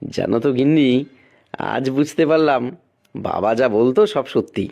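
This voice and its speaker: A man's voice: mid-range voice, animated, normal speed, creaky, a little mellow. A man playing a poor, anxious, scheming village farmer in a cartoon, with a theatrical, coaxing way of talking. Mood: coaxing, persuading. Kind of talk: cartoon voice acting.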